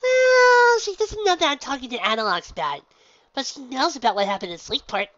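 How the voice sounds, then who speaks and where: A woman voicing a bubbly, excitable young female pony with a squeaky, overeager voice. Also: fairly quick, dry recording. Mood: cheerful. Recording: dull, dry lo-fi recording.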